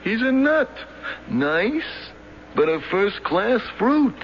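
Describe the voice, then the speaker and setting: A man's voice: high-pitched, sing-song, slow, exaggerated voice. A man acting in a 1970s radio drama as a brash, streetwise gossip, slangy and blunt. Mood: gossipy, dismissive. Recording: dull, noisy 1970s radio broadcast.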